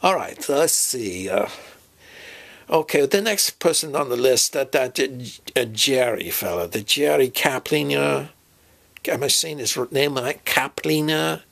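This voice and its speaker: A man voicing a fussy, forgetful professor in a comedy sketch, halting, muddled and repeating himself. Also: mid-range voice, lively intonation, vocal fry, fairly bright tone, exaggerated voice. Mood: muddled, deliberating. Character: doddering, fussy, forgetful male professor planning an expedition. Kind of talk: improvised comic dialogue sketch.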